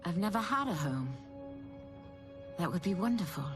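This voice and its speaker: A woman's voice: on the low side, expressive, moderate pace, natural voice. A woman voicing a stern, resolute swordswoman with a terse, determined manner in a video game. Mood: sincere. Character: stern, resolute female demon-hunting swordswoman. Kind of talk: video game cutscene acting. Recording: loud music behind the voice.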